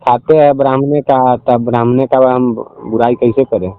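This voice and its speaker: A man, a caller arguing casually and haltingly on a phone call, trying to get a word in. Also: medium pitch, steady tone, no echo. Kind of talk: argumentative casual phone conversation. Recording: dull recorded phone call.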